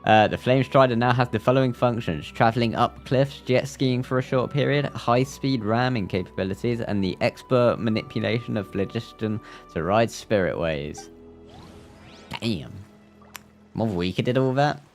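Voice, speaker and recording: A man's voice: medium pitch, normal speed. A man giving casual live commentary while playing a video game, sometimes reading game text aloud. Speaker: game livestreamer. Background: game music.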